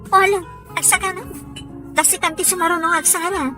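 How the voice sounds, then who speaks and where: A woman's voice: very high-pitched, steady tone, a little fast, sharp tone, faint music behind. A woman acting a scolding, skeptical friend in an audio drama. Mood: exasperated. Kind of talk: acted dialogue in an audio drama.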